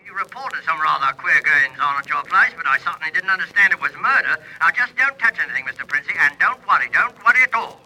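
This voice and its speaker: Male actor as desk sergeant in radio mystery. High, very sharp voice, unhurried and reassuring. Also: animated, full character voice, poor audio. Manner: deliberate, reassuring. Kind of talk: radio mystery play acting.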